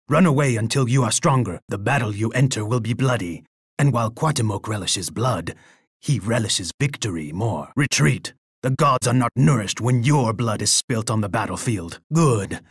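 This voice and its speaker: A husky, rough-edged Aztec emperor played by a male actor in a video game, proud and bloodthirsty. He declaims with imperious pomp at a middling pitch, recorded very dry and close. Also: no echo, close to an everyday voice. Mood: stern, commanding, then bloodthirsty, triumphant. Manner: pompous, declamatory, speaks of himself in third person.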